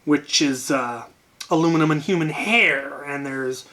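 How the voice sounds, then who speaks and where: A man's voice: on the high side, unhurried, bright, forceful tone, soft background music. A man telling an anecdote casually as a channel host, wryly, often repeating words as he gathers his thoughts.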